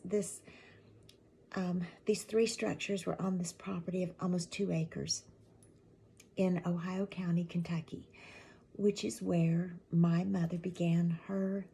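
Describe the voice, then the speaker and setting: Raspy, gravelly middle-aged woman chatting. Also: on the low side, a little hiss or hum. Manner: rambling, with soft half-whispered trailing words. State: raspy voice from allergies. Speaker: channel host. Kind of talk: casual chatty update to viewers. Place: at home with a mug of tea.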